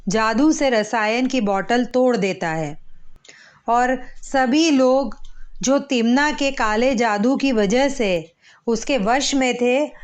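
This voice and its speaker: A woman storyteller reading a scripted children's moral tale, measured and phrase by phrase. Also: a little high.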